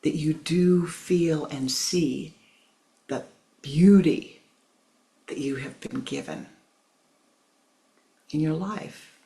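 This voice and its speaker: A spiritual teacher speaking softly and tenderly, with a gravelly edge in the voice. Phrases are repeated for emphasis, and listeners are called "my love". Sometimes the voice drops almost to a whisper. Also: mid-range voice, drawn-out words, pauses often.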